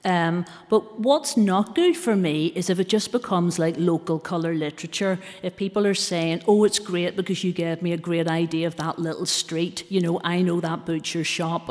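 Wry Belfast woman, low voice, echoing hall. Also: a little mellow. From Belfast, Northern Ireland. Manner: articulate and wry. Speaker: author guest. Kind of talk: literary discussion. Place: large marbled sculpture hall in a gallery. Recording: long reverb of a large stone hall.

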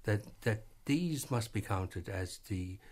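A man's voice: lowish voice, expressive. A man, speaking hesitantly as an interview guest in a vintage radio program. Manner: halting, many fillers and restarts. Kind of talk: reflective interview talk.

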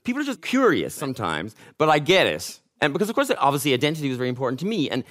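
A man's voice: mid-range voice, wildly swooping pitch, very fast, fairly bright tone. A middle-aged man hosting a casual panel chat. He laughs often and fills his talk with "you know.". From Ireland. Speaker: host.

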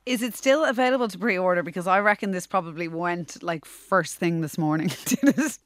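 A woman's voice: fairly low pitch, no echo, clean studio sound. An Irish woman presenting a radio show, teasing her guest and laughing easily. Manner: bright, teasing, quick to laugh. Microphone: studio microphone.

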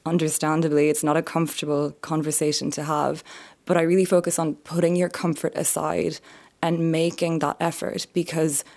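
A young adult woman from France, an author calmly explaining her experiences in an interview. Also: sits low. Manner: earnest, measured, explanatory. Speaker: author and survivor, interview guest. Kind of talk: reflective interview answers.

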